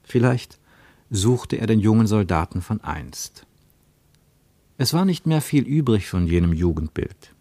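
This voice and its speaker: A man narrating a literary radio travelogue in a measured way, with dramatic weight on single words. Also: lowish voice, animated, warm tone, dry recording.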